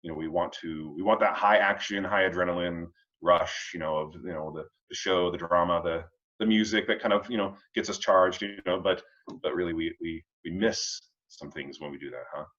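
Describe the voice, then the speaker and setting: A man hosting a casual discussion of readings, reflecting in a halting, rambling way and often checking in with the listener. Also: deep voice, fairly bright tone, dull audio. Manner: halting and rambling, checking in with "you know" and "huh". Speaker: host of a family read-along discussion.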